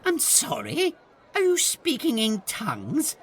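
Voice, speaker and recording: A woman's voice: high voice, huge pitch swings, slow, slightly rough, faint music behind, exaggerated voice. A woman voicing a fussy, self-important male lord in an audio comedy, obsequious and petulant. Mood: affronted, fussy. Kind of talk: audio comedy drama acting.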